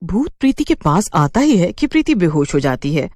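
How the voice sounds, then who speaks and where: Female narrator for animated horror tales: slightly low voice, animated intonation, dramatic scripted read, dry studio-like sound. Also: moderate pace, no echo. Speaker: story narrator.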